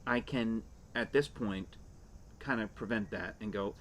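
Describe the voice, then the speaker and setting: A man's voice: fairly low pitch, unhurried. An older man chatting casually and wryly as a podcast co-host on an outdoor porch. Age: 50s or 60s. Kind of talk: casual chat. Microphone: shared desktop microphone on table. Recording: clear ambient noise behind the voice.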